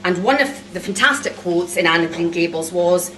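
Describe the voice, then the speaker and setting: A woman speaking as an author at a live launch, giving thanks to an audience in a halting way with frequent pauses. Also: lowish voice, animated, fairly bright tone. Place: cafe event room, indoors. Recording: echoey room recorded from far off.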